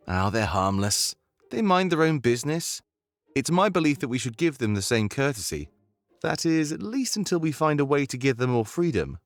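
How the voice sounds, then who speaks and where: A man's voice: mid-range voice, no room sound, lightly acted. A man voicing an earnest rebel guide in a visual novel game, conscience-stricken but with a playful streak, talking in a musing, conversational way.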